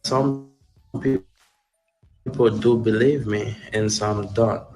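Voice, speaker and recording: A man from Haiti giving earnest personal testimony in a serious, measured way. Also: on the low side, fairly even intonation, music in the background, rough recording.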